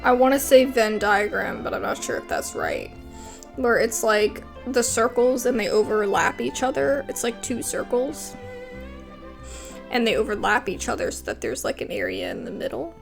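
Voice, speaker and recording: A woman's voice: a little high, sing-song, moderate pace, creaky, faint music behind. A woman giving casual gameplay commentary as a video creator. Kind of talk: casual gameplay commentary, also reading dialogue aloud.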